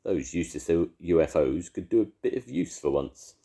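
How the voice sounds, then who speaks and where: A man voicing a down-to-earth caterpillar bloke, dry, sarcastic, deep and dark. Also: lively intonation, normal speed, vocal fry. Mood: matter-of-fact. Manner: dry, matter-of-fact and sarcastic.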